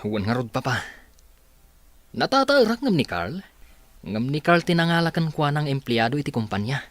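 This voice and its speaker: A man's voice: medium pitch, big pitch swings, moderate pace, fairly bright tone, no room sound. A man playing a brooding, competitive young boss's son in a radio drama. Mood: defensive. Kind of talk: Ilocano radio drama acting.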